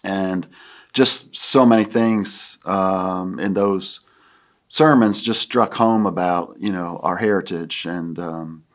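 Low-voiced older man, halting and reflective. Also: fairly bright tone. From Pennsylvania. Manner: halting, reflective, many fillers. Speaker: guest. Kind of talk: casual conversation.

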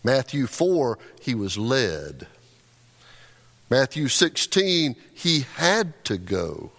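A man's voice: mid-range voice, sing-song, drawn-out words, creaky, a bit bright. A male pastor delivering a conversational sermon, often repeating words as he restarts a thought. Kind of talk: sermon with scripture reading. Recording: long hall reverb.

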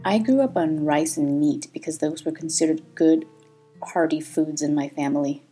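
Lady in her 30s podcasting about her own life, low voice, husky, laughs a lot, soft music under it. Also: moderate pace. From Thailand. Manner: candid, laughs often. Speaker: podcast host. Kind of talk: personal podcast monologue.

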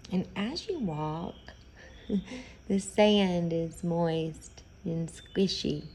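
A woman leading a guided meditation in a soothing, gentle, unhurried manner, pausing often between phrases. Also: fairly low pitch, expressive, slight background noise.

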